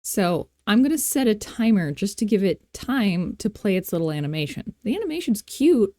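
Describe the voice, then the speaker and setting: A woman hosting a software tutorial, thinking aloud in an informal, playful, self-deprecating way into a close mic. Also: medium pitch, sing-song, moderate pace, no room sound. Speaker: tutorial host.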